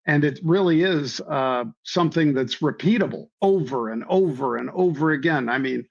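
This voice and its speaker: Hoarse guy explaining trading, lots of ums, kinda dull lo-fi sound. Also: on the high side, moderate pace. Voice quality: hoarse from years of floor yelling. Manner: folksy, repeats phrases, many ums. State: slightly hoarse. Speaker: trading guest. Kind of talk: conversational explanation. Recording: dull lo-fi audio.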